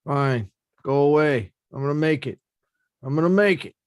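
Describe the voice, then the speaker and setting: Battered prizefighter, played by a man, raised pitch, stubborn and blunt, table-read drama. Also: expressive, normal speed, smooth, no echo, clean studio sound, lightly acted. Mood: stubborn defiance. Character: battered, stubborn male heavyweight boxer. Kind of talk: table read acting of a film script.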